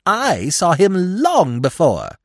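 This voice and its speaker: A man voicing an excitable, self-important male squirrel with a fretful, protesting manner in a storybook. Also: high-pitched, moderate pace, slightly rough, no echo, studio quality, pitched above the performer's own voice, heavily acted. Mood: pettish. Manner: pettish, protesting, lamenting.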